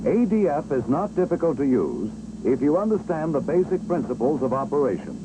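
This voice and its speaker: An American man narrating a 1960s instructional film, explaining step by step in a measured, clear way. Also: a little high, very animated. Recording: old 1960s film soundtrack, engine-like background noise.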